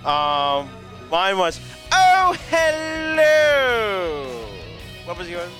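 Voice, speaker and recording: A young man co-hosting a convention video, riffing playfully and running with silly gags. His voice sits a little high. Music plays clearly behind him. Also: huge pitch swings, extremely slow, sharp tone. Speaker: co-host of convention coverage. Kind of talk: casual joking banter.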